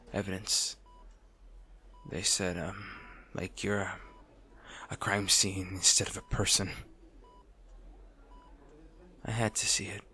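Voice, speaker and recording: Man acting out a husky, anguished villain. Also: low voice, sharp tone, full character voice. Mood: shaken, bitter. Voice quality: gravelly, husky, often breaking into whispers. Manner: halting, brooding, theatrical. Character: anguished, possessive male villain at rival hero's bedside. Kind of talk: intimate dramatic audio roleplay monologue.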